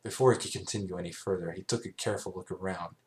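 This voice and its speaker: A man narrating scripted fan fiction as an amateur reader, halting and stumbling over phrases now and then. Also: low-pitched, steady tone, darkish tone.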